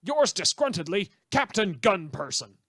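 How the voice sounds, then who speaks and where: A man's voice: high voice, big pitch swings, a little slow, a bit bright, slightly rough, no echo, studio quality, voice pushed up, full character voice. A man voicing a disgruntled, blustering gun owner reading out an angry complaint letter in a radio satire. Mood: indignant, outraged. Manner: blustering, emphatic. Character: indignant, disgruntled male gun-owning listener writing in.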